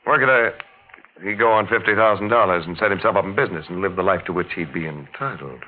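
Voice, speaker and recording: A man acting a cool, grasping young lover in an old radio mystery. He talks low and quickly, pointed and insolent, through a tinny vintage broadcast. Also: natural voice. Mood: coolly demanding. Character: cool, mercenary young male lover demanding money. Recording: scratchy 1940s radio, narrow tinny band.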